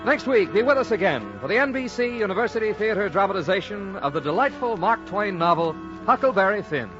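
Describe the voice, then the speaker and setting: A formal American male announcer with a slightly high, very animated voice. He reads his script in old-time radio style, on a dull vintage recording with music in the background. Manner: formal, measured diction. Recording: old muffled radio broadcast, faint music.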